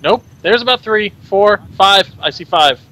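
Male gamer, bright forceful tone, slightly high pitch, wry, casual commentary, game audio behind. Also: lively intonation, a little slow. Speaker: gaming show co-host.

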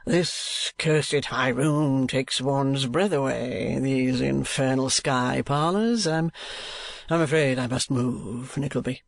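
Man putting on a drawling, mincing foppish gentleman. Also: mid-range voice, slow, vocal fry, no echo. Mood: languid complaint. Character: mincing, foppish, effusive dandy gentleman, swearing and fawning. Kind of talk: audiobook character voice.

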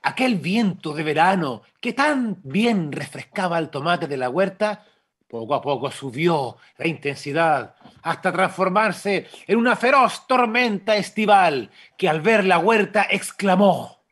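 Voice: medium pitch, lively intonation, unhurried, a bit bright, breathy edge. A narrator on a video call reading a radio play in a dramatic storybook style.

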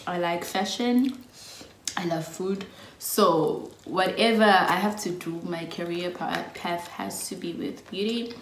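A woman's voice: on the low side, slow pace, roomy sound, a little hiss or hum. A young South African woman in her twenties, casually chatting and giggling through a get-to-know-us video. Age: early 20s. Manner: giggly, chatty, laughs often. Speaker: YouTube vlogger. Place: small indoor room.

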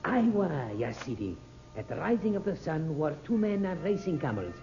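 An actor playing a sly desert caravan leader in an old radio drama, with formal, archaic phrasing. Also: male voice, fairly high pitch, big pitch swings, moderate pace, mellow, faint music behind. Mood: solemn, courteous. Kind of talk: 1940s radio drama acting. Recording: dull old radio recording.

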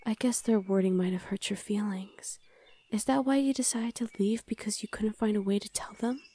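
A young woman voicing a gentle older sister in an ASMR roleplay, speaking softly and half-whispered to comfort a younger sibling. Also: mid-range voice, normal speed, natural voice. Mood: reassuring. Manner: soft, half-whispered, reassuring. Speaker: voice actor.

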